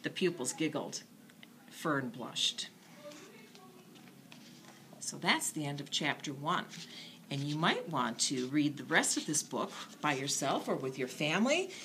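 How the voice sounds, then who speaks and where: A woman's voice: deep voice, very animated, a little slow, pauses often, noisy background. A woman narrating a children's bedtime story expressively.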